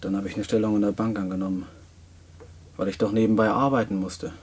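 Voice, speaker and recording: Earnest young prisoner, husky, low voice, hesitant, polite, naive student, radio play. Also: male voice, little pitch movement, quick pace, a little mellow. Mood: sheepish. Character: earnest, hard-up nineteen-year-old male student in prison. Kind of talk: post-war German radio play dialogue.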